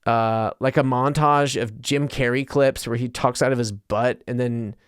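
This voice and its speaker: American male podcast host, mid-pitched, smooth clean voice, loose self-interrupting delivery, dry close sound. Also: fairly even intonation, measured pace, no room sound. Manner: self-interrupting, trails into tangents. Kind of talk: casual, rambling solo podcast talk.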